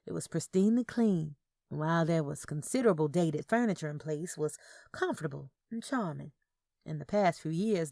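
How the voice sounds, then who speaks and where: Casting: female storyteller for audio fiction, very animated pitch, creaky edge. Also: no room sound, clean studio sound. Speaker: audiobook narrator. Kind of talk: scripted narration of Southern Gothic fiction.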